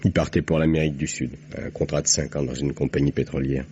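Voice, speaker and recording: A bitter, resigned prisoner with a very deep voice. He speaks tersely and sardonically, in old radio drama style. The recording is dull, with some hiss. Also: fairly even intonation, fast talker, dark tone. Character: bitter condemned man, weary and resigned. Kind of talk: old French radio mystery acting.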